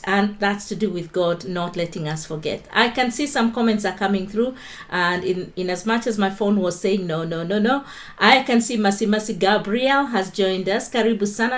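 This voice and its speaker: Kenyan woman, livestream host on her phone, chatty and unhurried, mid-pitched voice. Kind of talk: casual livestream talk with Bible teaching.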